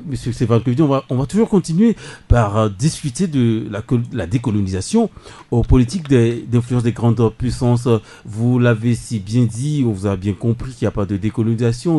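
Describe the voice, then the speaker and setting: West African French male radio host, measured and warm-toned. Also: mid-range voice. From Togo. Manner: measured, chuckling, steers the debate. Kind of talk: radio talk show moderation. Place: radio studio.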